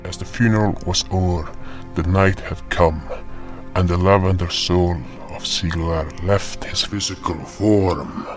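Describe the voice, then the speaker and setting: A man with a deep voice narrates a scripted tale as a solemn guardian spirit. He takes his time over each line in a measured way. Faint music plays underneath. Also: fairly even intonation. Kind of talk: first-person scripted story narration. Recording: music behind the voice.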